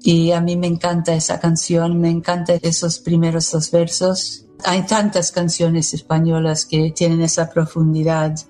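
A woman who grew up in the United States, speaking Spanish with an American English accent as a second language, answering interview questions in a measured, thoughtful way with pauses between phrases. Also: lowish voice, monotone, mellow, faint music behind. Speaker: poet, interviewee.

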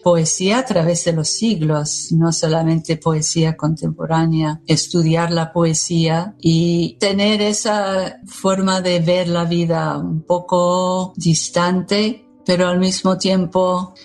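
An American woman speaks Spanish slowly in a low, level voice, her English accent clear beneath each word. She answers in a measured, thoughtful way, pausing between short phrases, with faint music underneath. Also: level tone, a little mellow. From United States. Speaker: poet, interviewee. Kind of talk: reflective interview answers.

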